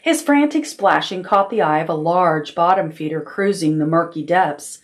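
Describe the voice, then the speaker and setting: A woman's voice: on the low side, moderate pace. Woman reading a children's story expressively. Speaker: library storytime reader.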